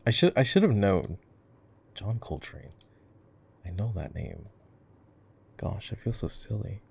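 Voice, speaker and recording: An easygoing gamer boyfriend, played by a male voice actor, talks in a lowish voice with plenty of fry. He rambles playfully through casual game coaching, often breaking off his own sentences. It has the intimate, chatty feel of an ASMR roleplay. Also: sing-song, a little slow, a little mellow, heavily acted. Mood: amused, musing. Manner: rambling, self-interrupting, playful.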